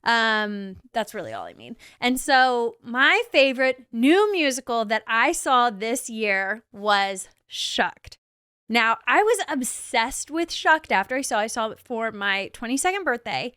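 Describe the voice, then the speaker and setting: A young woman in her twenties talks through a solo podcast episode in a gushing, chatty way, leaning on "like" as filler. Her voice sits high and comes across smooth and clear. The sound is dry and close, with no room around it. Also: sing-song, a little slow, bright, forceful tone, no echo. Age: 20s. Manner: gushing, chatty, heavy use of "like". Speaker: podcast host. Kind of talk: casual solo podcast chat.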